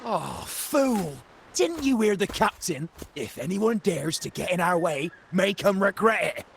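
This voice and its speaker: A man's voice: high voice, big pitch swings, a little slow, creaky, a bit bright, slight background noise, exaggerated voice. A man playing a boastful, grovelling pirate henchman in a video game, telling tales in a theatrical, exclamatory way. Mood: frantic, scheming. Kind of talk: video game character acting.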